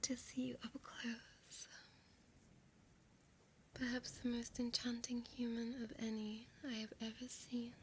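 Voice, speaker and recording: A seductive, ancient vampire woman, voiced by a woman, whispers slowly and breathily, tender yet possessive. Her fairly high voice stays hushed and close in an ASMR-style audio roleplay, with faint ambient noise behind it. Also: monotone, close to an everyday voice. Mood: tender, enchanted.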